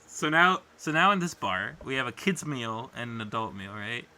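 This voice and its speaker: Man, podcast co-host, very bright forceful voice, lively pitch swings, crude playful riffing, casual comedy chat. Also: moderate pace.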